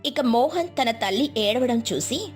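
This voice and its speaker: A woman's voice: a little high, lively intonation, measured pace, faint music behind. A woman narrating a scripted moral story as a storyteller.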